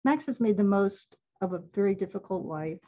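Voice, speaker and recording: A woman's voice: mid-range voice, expressive, normal speed, warm tone, lo-fi sound. A woman giving a casual webinar talk on a video call, chatty and laughing often. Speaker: alumni event presenter.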